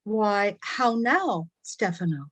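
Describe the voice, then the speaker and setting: A woman's voice: mid-range voice, animated, takes it slowly. A woman playing a mocking, amused nobleman in a Shakespeare play reading. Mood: surprised.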